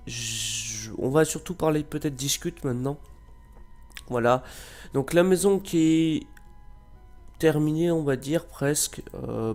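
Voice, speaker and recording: A man's voice: medium pitch, a little mellow. A man with a cold giving casual, rambling gameplay commentary, hesitating often with filler sounds. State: has a cold. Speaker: gameplay video creator.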